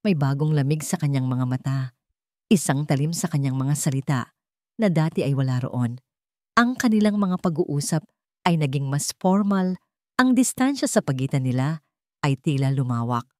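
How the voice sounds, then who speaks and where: A woman's voice: low voice, dark tone, no room sound, studio quality. A woman reading a scripted dramatic story as its narrator.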